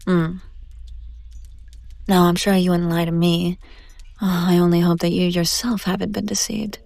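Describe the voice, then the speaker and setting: Casting: female performer as an imperious demon buyer for audio roleplay; lowish voice, condescending, teasing, theatrical, very dry close sound. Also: flat delivery, moderate pace, creaky, a little mellow, no echo. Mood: cool, businesslike. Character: haughty, predatory demon woman, wealthy buyer at auction.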